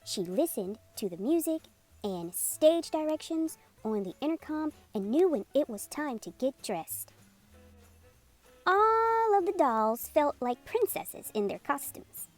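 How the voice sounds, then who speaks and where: A woman reading a children's picture book aloud as a storytime host, in an expressive, unhurried storytelling manner. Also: high voice. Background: music playing under the voice.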